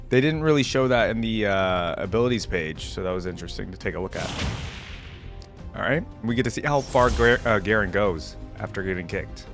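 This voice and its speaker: A man with a mid-pitched voice hosts a casual gaming reaction. He moves between reading aloud and offhand commentary. Music plays along with him. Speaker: reaction video host.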